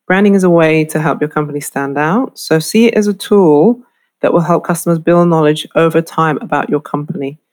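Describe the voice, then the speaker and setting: A middle-aged woman from the UK, a lecturer giving a clear, explanatory lesson on branding. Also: low-pitched, a little mellow. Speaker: fashion marketing lecturer. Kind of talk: instructional talk to camera.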